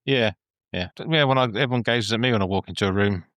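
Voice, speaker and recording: A man's voice: fairly low pitch, fast, a bit bright, no room sound, clean studio sound. A man co-hosting a casual podcast, chipping in with short jokes and remarks. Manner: jokey, short interjections. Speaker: podcast co-host. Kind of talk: casual chat.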